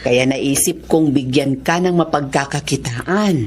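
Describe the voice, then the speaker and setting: A woman's voice: very deep voice, measured pace. An actress playing a kind, generous married lady of means in a Filipino radio drama, gracious and reassuring in manner. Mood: generous, warm.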